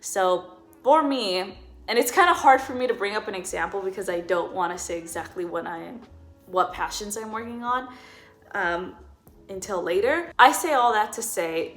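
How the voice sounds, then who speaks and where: A woman's voice: medium pitch, moderate pace, a bit bright. A woman in her twenties talking earnestly in a personal vlog. She often repeats words for emphasis. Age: 20s. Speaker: vlogger.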